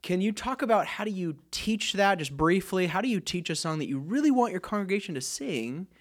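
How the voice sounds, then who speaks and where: A man's voice: high voice, animated, fairly quick. A man who sings tenor, asking questions in a casual podcast conversation. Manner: easygoing, frequent short agreements.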